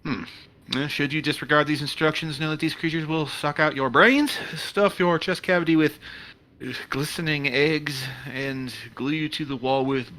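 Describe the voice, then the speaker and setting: A man playing a jittery, sarcastic, easily awed spaceship officer in a comedic sci-fi play, with animated, wry delivery. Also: fairly high pitch, normal speed, low-quality recording.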